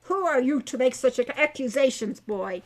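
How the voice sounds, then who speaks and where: A woman's voice: sits high, animated, normal speed. A woman voicing a stern, angry centaur father in a storybook, with formal, scolding speech. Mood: furious.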